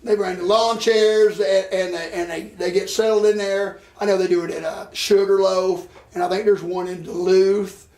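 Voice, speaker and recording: High, raspy-voiced male talk host. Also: fairly even intonation, moderate pace, few pauses, darkish tone. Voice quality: raspy, scratchy voice. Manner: chatty, stacks restarts and repeated words. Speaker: show host and songwriter. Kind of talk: casual interview chat. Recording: echoey room.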